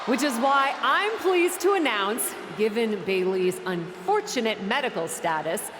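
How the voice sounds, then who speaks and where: A woman's voice: a little high, long reverb tail, slight background noise. An actress voicing a smug, sarcastic female wrestler who is stepping down as general manager, in a video game cutscene.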